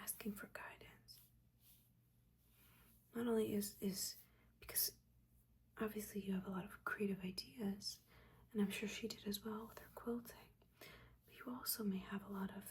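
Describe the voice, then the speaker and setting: A woman's voice: mid-range voice, close to an everyday voice. Woman playing a whispering, motherly witch mentor. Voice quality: soft, breathy whisper. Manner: gentle, halting, instructive. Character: older witch mentor, motherly, judging yet caring teacher. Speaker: ASMR roleplayer. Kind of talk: whispered ASMR personal-attention roleplay.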